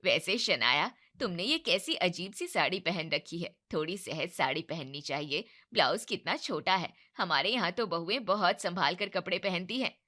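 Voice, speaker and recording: A woman's voice: lowish voice, lively intonation, normal speed, hardly any pauses, bright, forceful tone. A woman doing a nitpicking mother-in-law. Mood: nagging. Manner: critical, complaining. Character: fussy nitpicking middle-aged mother-in-law.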